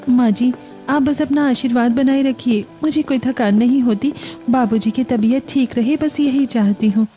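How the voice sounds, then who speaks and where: A woman playing a devoted young daughter-in-law in a cartoon family story, gentle, caring and respectful. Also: on the high side, normal speed, soft background music, natural voice. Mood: caring, reassuring. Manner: reassuring, respectful.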